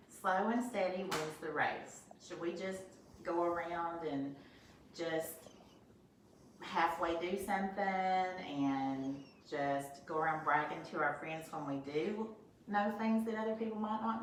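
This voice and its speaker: Woman, first-grade teacher, gentle storybook narration; far from mic, faint background noise. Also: lowish voice. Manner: expressive, gentle, talks to young children. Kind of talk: storybook read-aloud and lesson. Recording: roomy echo from a distance, faint noise.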